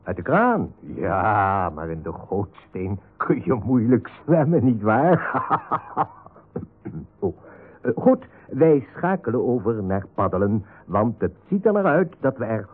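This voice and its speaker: An actor playing a wry, chatty storyteller, narrating a comic radio serial in a husky voice with playful asides. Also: male voice, on the low side, very animated, unhurried, heavily acted. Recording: tinny narrow-band radio recording.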